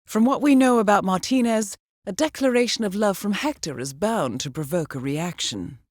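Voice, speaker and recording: An actress playing a composed, formal and dryly witty intelligence handler who briefs an assassin in a video game. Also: female voice, medium pitch, lively intonation, moderate pace, no room sound, studio quality, close to an everyday voice. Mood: dryly wry. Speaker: mission handler.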